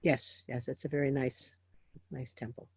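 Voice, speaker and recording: A woman's voice: very deep voice, level tone, moderate pace, vocal fry, a little mellow, breathy edge. A woman professor chatting with a student, giving short agreeing replies. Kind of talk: casual conversation.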